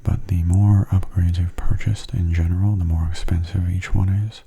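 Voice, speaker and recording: A man giving soft-spoken ASMR game commentary, breathy and often near a whisper, in a gentle, explanatory way. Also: low voice, little pitch movement, moderate pace.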